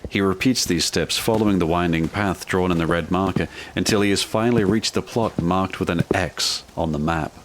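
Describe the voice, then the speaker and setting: Male audio drama narrator. Deep register, measured solemn read, slight background noise.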